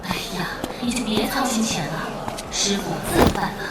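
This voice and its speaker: A woman's voice: mid-range voice, normal speed, close to an everyday voice. An actress playing an ancient female spirit mentor who teases and commands her pupil, over background music. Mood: hushed. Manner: teasing, commanding. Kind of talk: animated drama dubbing.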